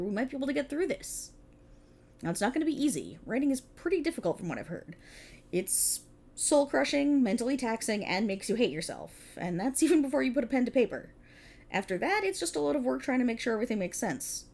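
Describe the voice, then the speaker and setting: A woman's voice: fairly high pitch, very animated, quick pace, a little mellow. A woman playing a prickly, exasperated police officer in a scripted ASMR roleplay, talking in a wry, bossy, explanatory way to an unheard partner. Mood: urgent, persuading. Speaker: voice actor in audio roleplay. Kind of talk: scripted ASMR roleplay, one-sided dialogue.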